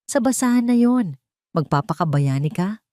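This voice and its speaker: A woman's voice: medium pitch, expressive, moderate pace, mellow, no room sound, studio quality, natural voice. A woman with a Filipino accent voicing a scheming, bitter, obsessive woman in love in an audio drama, emphatic and theatrical. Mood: bitter, desperate.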